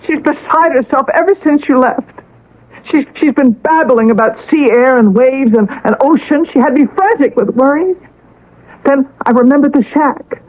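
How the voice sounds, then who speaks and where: High, urgent actress as a protective woman. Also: moderate pace, lightly acted. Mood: urgent, pleading. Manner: urgent, hesitant, pleading. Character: frantic, protective woman Esther, urgently taking charge. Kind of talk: radio drama acting. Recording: tinny, phone-like old radio broadcast.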